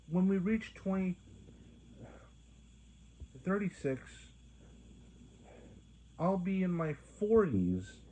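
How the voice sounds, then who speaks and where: A man in his twenties rambling to his viewers in a monologue, halting often with restarts and fillers. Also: high voice, mellow. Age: 20s. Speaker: vlogger. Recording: phone-like narrow sound.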